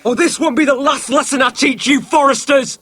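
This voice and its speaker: A man's voice: very high voice, steady tone, brisk, bright, forceful tone, no echo. A man playing an arrogant, cruel young lord in a dramatic video game, sneering and petulant as he taunts. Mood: sneering contempt. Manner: sneering, taunting, profane, imperious.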